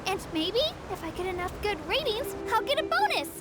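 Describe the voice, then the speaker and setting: A woman's voice: very high voice, sing-song, brisk, loud music behind the voice. A woman voicing a cheerful, childlike little creature who works as an eager tour guide in a video game. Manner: earnest, chirpy, childlike. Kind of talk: scripted video game dialogue.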